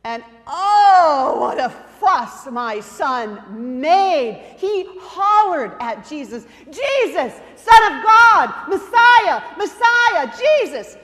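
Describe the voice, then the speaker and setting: A woman's voice: very high-pitched, very animated, very slow, few pauses, a bit bright, pitched above the performer's own voice, exaggerated voice. A woman playing a devoted, proud mother in a dramatic monologue, telling her story emphatically with conversational asides. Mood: exclaiming, impassioned. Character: devoted, proud mother of a blind beggar son. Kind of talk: dramatic monologue reading at a church service. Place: church, during a service. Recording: reverberant church hall.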